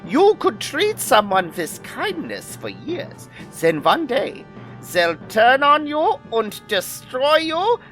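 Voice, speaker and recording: A woman's voice: on the high side, fairly bright tone, full character voice. A woman acting a grandiose, theatrical villain with wounded pride in an audio drama, declaiming each speech.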